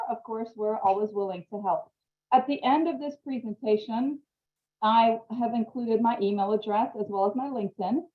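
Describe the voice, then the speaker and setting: A woman's voice: medium pitch, roomy sound. A woman from Trinidad, a marketing instructor, giving a friendly, chatty online presentation over a video call. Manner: friendly, chatty, laughs easily. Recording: phone-like video call audio.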